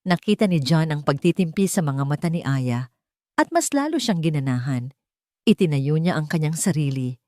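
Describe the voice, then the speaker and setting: A Filipino woman narrates an audio drama story in a fairly low voice. Her delivery is scripted and dramatic, built for storytelling. The recording is dry, with very little room sound. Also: warm tone, dry recording.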